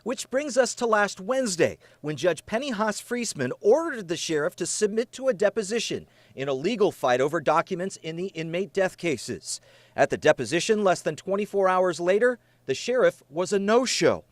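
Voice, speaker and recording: A man's voice: high voice, animated, normal speed, no room sound. An American man reading scripted investigative news as a television reporter, with a serious, emphatic broadcast delivery.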